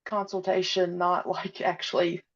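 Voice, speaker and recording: A woman being interviewed about her audio drama, speaking hesitantly with many pauses and fillers. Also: lowish voice, level tone. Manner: hesitant, many ums and uhs. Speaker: podcast guest, audio drama creator. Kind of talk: casual interview chat. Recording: dull lo-fi audio.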